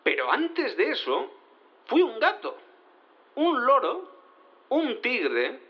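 Excitable cat-turned-lawyer, high animated voice, rambling, hesitant, comic audio drama, slightly dull sound. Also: male voice, takes it slowly, heavily acted. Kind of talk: comic courtroom speech in audio fiction.

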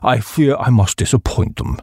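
A man's voice: mid-range voice, expressive, normal speed, vocal fry, mellow, breathy edge, no room sound, clean studio sound, voice pushed down. A man voicing a haughty, easily affronted old English duke in an audiobook, stiff and formal. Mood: coldly polite. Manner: stiff, formal, coldly polite.

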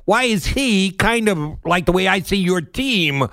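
Man, sports talk host, creaky gravelly voice, mid pitch, conversational interviewing, dry sound. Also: expressive, measured pace, a bit bright, no echo.

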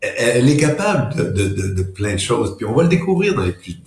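A man, a singer and vocal coach, talking in a warm, halting way in a casual interview. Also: medium pitch, sing-song, vocal fry, echoey room. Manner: warm, halting, with repeated words.

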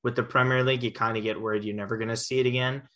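A man's voice: mid-range voice, flat delivery, racing, fairly bright tone. A man in his twenties hosting a casual sports podcast, talking loosely with frequent restarts. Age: 20s. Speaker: podcast host.

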